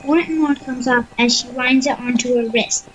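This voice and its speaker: High-pitched woman narrating a story. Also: fairly even intonation, moderate pace, slight background noise, low-quality recording. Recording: faint music behind the voice.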